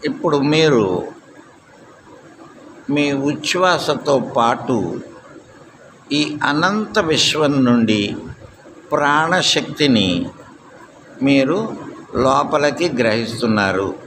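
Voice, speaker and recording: Male meditation instructor, calm and deliberate, extremely unhurried pacing with long gaps; noticeable ambient noise behind the voice. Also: medium pitch. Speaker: yoga nidra instructor. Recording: clear steady background noise.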